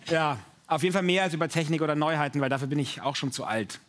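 A man's voice: fairly high pitch, quick pace, a crowd in the background. A man raised between Austria and Germany, a quiz contestant and actor, musing aloud in a hesitant, self-deprecating way. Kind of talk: casual chat.

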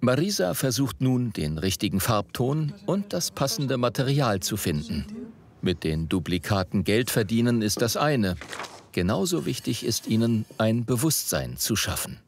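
A man's voice: medium pitch, big pitch swings, moderate pace. A man reading scripted narration for a documentary report. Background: faint background music.

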